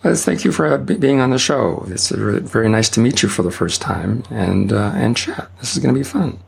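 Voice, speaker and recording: A man hosting a tech podcast and interviewing a guest, speaking haltingly with frequent "uh"s and restarts. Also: mid-range voice, expressive, gravelly, slightly rough. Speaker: podcast host.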